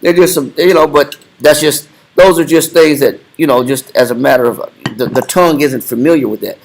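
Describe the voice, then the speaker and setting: A man taking part in a group Bible discussion, halting and hesitant, often restarting his sentences. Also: fairly high pitch, gravelly, low-quality recording. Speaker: Bible study participant.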